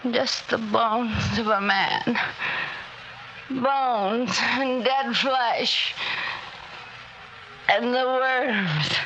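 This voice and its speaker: A grave, imploring woman in an old radio horror play. Her voice is highish and bright, drawn out very slowly over faint noise. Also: exaggerated voice, rough recording. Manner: slow, drawn-out, imploring delivery. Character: grave, pleading woman with macabre talk. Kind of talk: 1960s radio horror drama acting.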